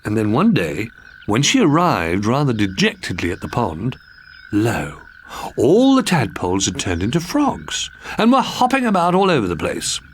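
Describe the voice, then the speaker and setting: An Englishman narrating a children's story in a wry, expressive storytelling manner. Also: mid-range voice, moderate pace, a little hiss or hum. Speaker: storybook reader.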